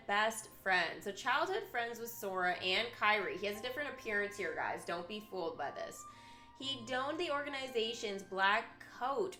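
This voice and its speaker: A woman streamer reading character profiles aloud and breaking into chatty, excitable asides to her viewers. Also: mid-range voice. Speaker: live streamer.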